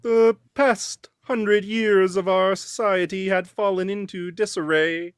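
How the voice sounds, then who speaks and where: A woman's voice: medium pitch, fairly even intonation, takes it slowly, clear, resonant tone, dry recording, studio quality, close to an everyday voice. A woman playing an earnest, courteous male alien leader in a radio theatre read, speaking formally and ceremoniously. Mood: solemn gratitude.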